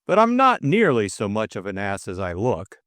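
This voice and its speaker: A man voicing a pleasant young English gentleman adventurer, careless and gently proud, in audiobook dialogue. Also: on the low side, huge pitch swings, moderate pace, no room sound, studio quality. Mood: indignant.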